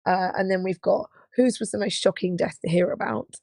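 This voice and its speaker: Female co-host in her twenties to thirties, slightly hoarse with gravel, chatty and giggly, dry mic. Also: on the low side, fairly even intonation, fairly quick, darkish tone, no echo. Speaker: podcast co-host, touring musician. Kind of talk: casual live podcast chat.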